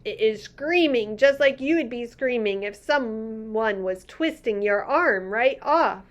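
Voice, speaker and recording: A woman's voice: on the high side, animated, measured pace, muffled recording, voice pushed up. A woman voicing a big friendly old male giant who talks earnestly in muddled grammar.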